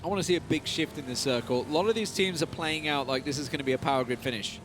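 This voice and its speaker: A man's voice: fairly high pitch, fast talker, noisy background. A man casting a live esports match on a headset, chatty and analytical, and he laughs easily. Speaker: esports caster.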